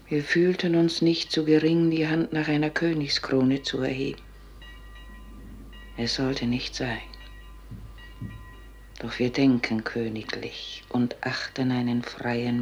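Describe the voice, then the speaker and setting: Proud despairing countess, slow solemn declamation, creaky voice, theatrical, dull 1950s radio recording. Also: female voice, rumbling low, heavily acted. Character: proud, despairing countess of a fallen princely house. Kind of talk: 1950s German radio drama, classical stage declamation.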